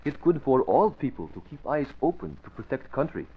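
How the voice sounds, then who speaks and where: A loyal, earnest manservant, speaks in clipped broken English. The old radio drama recording is muffled, with faint noise behind him. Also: male voice, mid-range voice, sing-song, a little fast, creaky. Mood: earnest, admiring.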